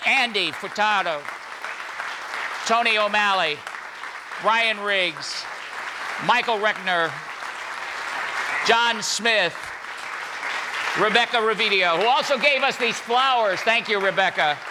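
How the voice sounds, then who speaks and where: Older male MC, notably high voice, informal stage introductions in a noisy live hall. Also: takes it slowly, long pauses. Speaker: master of ceremonies. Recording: live hall recording, crowd and noise. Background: audience crowd noise.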